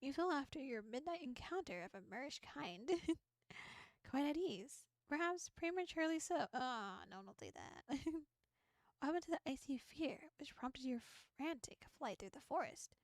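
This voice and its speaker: A woman's voice: high-pitched, animated, normal speed, no echo. A playful, giggly woman VTuber reading a visual novel aloud and adding casual commentary. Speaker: VTuber streamer.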